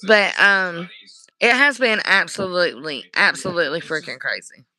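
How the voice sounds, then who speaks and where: A woman livestreaming casual chat to her viewers, hoarse from screaming, rambling and apologetic. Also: on the low side, sing-song, normal speed, bright, forceful tone, rough recording.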